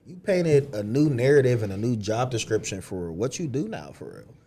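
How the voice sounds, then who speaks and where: A man's voice: mid-range voice, big pitch swings, dark tone. Slangy man, faint hum behind. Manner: slangy, quick reactions. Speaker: podcast co-host. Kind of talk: casual chat.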